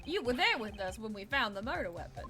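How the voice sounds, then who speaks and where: A woman acts out a brash male defense lawyer in a courtroom game. He is insistent and emphatic, with a high, swinging voice over music. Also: sing-song, fast talker. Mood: protesting.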